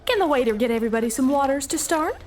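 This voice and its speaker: High, sing-song diner waitress. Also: female voice, expressive, rapid-fire, darkish tone. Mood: saccharine, pushy. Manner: speaks of self in third person, sing-song service voice. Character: chirpy, eerily formal female diner waiter, pushy hostess. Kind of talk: audio drama acting.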